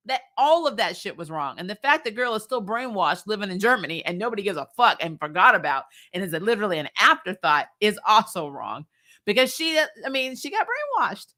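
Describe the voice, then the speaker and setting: A woman's voice: on the high side, pushed and bright. A woman hosting a fan recap show, chatting casually and bluntly about TV with loose, informal phrasing and some swearing. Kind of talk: casual livestream chat about TV shows.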